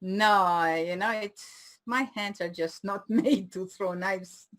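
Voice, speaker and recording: Interview guest: woman in her sixties, French-Swiss accent with an Indian touch, chatty and playful, mid-pitched. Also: takes it slowly, fairly bright tone. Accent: French-speaking Swiss with Indian-learned English. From Switzerland. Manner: chatty, laughs easily, playful. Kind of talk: casual interview chat.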